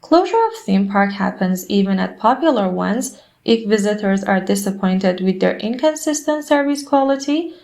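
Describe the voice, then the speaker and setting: A woman's voice: mid-range voice, animated, moderate pace, creaky, hardly any pauses, darkish tone. A woman reading scripted exam practice passages aloud.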